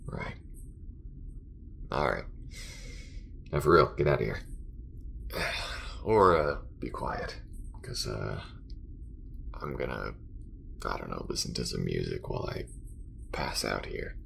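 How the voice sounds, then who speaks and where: A man acts out a guarded alien crewmate for an audio roleplay, extremely low and thick with gravelly fry. He mutters haltingly and grudgingly, gruff but anxious underneath, his pitch dipping and rising over a faint hiss. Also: lively intonation, a bit bright, exaggerated voice. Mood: tired, grudging. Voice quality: very deep, gravelly. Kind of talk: intimate ASMR roleplay acting.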